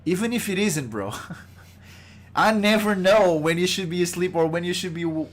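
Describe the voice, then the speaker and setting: A man's voice: high-pitched, moderate pace. A man hosting a casual podcast livestream, joking and loose with a groggy voice. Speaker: podcast host.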